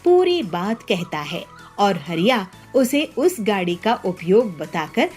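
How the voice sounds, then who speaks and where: A woman's voice: mid-range voice, very animated, slow, darkish tone, soft background music. A woman narrating a children's moral story as a storyteller.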